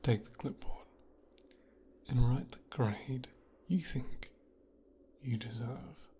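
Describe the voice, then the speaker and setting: A man playing a possessive, smooth-talking son of a mafia boss in an intimate, hushed ASMR audio roleplay. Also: mid-range voice, lively intonation, slight background noise. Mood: teasing, possessive. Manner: slow, hushed, half-whispered delivery with deliberate pauses.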